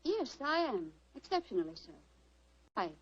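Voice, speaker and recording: A woman's voice: sits high, big pitch swings, moderate pace. An actress playing a haughty, anxious married woman in a theatrical, emotional old-time radio melodrama style. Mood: suspicious, coy. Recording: muffled old radio recording.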